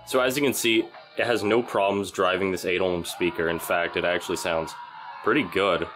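A young man with a deep, low-pitched voice narrates a hands-on DIY build. His delivery is casual and explanatory, with an understated, dry sense of humor. Some music can be heard faintly behind him. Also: fairly even intonation, moderate pace, fairly bright tone. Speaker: DIY electronics video maker.

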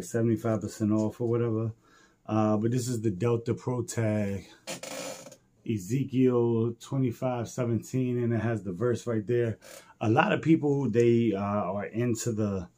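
A middle-aged man chatting casually in a vlog, slangy and informal, with a raspy voice that is wearing out. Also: fairly low pitch, warm tone. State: losing his voice. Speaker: vlogger and clothing reseller. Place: in stores, a parked or moving car, and a room at home.

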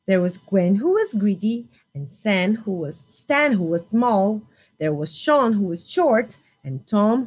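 A woman in her thirties hosting a children's storytime, reading expressively in simple, deliberate phrases. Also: medium pitch, a little mellow.

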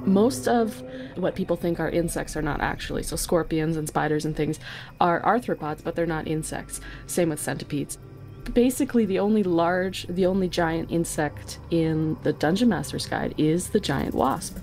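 Woman podcast guest w a lowish voice, nerdy casual explaining, soft music behind. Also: moderate pace, gravelly. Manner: self-aware, nerdy explaining. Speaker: podcast guest, insect research technician. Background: background music.

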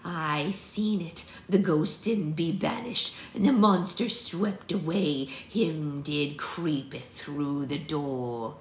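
A storybook old country woman, played by a woman reader, speaks in archaic riddles with slow, anxious urgency. Her voice sits low, in a roomy space with faint noise.

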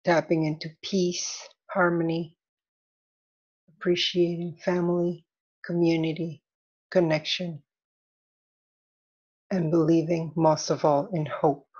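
A woman leading a guided meditation as an instructor, soothing and deliberate, in measured phrases with long pauses. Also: lowish voice, level tone, a little mellow, breathy edge, dull audio.

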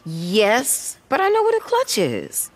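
A woman's voice: medium pitch, wildly swooping pitch, slow, a little hiss or hum. An actress playing a stubborn young woman learning to ride in a video game, insistent and casual. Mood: impatient. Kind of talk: naturalistic video game acting.

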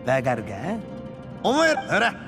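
An actor playing a boastful young gang boss who talks loudly with rough slang and a gravelly voice. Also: male voice, fairly high pitch, huge pitch swings, measured pace, long reverb tail. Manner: loud, swaggering, coarse slang. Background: game music.

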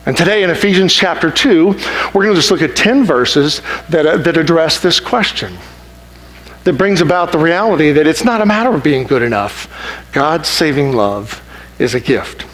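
A middle-aged male pastor with a high voice preaches from a big church stage. He talks conversationally and turns animated when he acts out people's complaints. His pace swings between quick asides and slow, weighty lines. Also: poor audio. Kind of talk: sermon. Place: large church auditorium stage.

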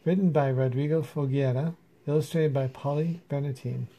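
A man reading a children's story aloud in an animated, dramatic way. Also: fairly high pitch, normal speed. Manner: animated, dramatic, with character voices. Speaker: storyteller reading a picture book. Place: room in a house.

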